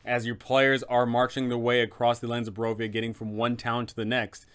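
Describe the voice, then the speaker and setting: Smooth-voiced man explaining tabletop villains. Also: mid-range voice, monotone, fairly quick, fairly bright tone. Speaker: host of a tabletop game master's guide. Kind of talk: enthusiastic explainer narration.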